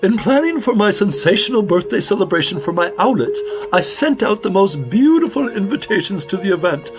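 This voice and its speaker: A man's voice: high voice, sing-song, music in the background, voice pushed up. A man voicing a dramatic, genteel, fretful mother owl in a children's audio drama. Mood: distressed. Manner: theatrical, fretful, drawn-out emphasis.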